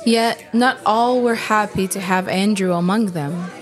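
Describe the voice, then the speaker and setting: A woman's voice: mid-range voice, measured pace, crowd noise. A woman narrating a scripted religious story in a storytelling style.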